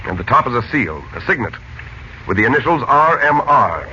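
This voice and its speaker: Hissy vintage radio drama, steady expedition leader uncle, medium pitch, commanding, probing. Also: male voice, fairly quick. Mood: commanding, tense. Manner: authoritative, probing. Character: steady, take-charge American uncle leading an expedition. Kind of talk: 1940s radio serial acting. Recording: muffled, noisy old radio recording.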